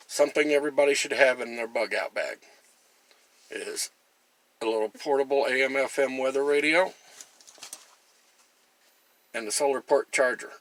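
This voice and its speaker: A man's voice: mid-range voice, a bit bright. A man talking casually through a product demonstration in a plain-spoken, folksy and chatty way. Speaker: gear reviewer and camper.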